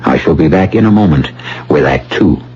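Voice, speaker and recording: A man playing a grave, portentous host, narrating a scripted radio mystery in a measured, ominous manner. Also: extremely low pitch, lively intonation. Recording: dull old radio broadcast.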